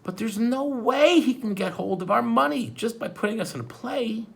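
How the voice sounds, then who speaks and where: A man's voice: very high voice, expressive, moderate pace, creaky, husky, pitched above the performer's own voice, heavily acted. A man voicing an anxious, indignant bookish young boy in a storybook, earnest and hesitant in his protests.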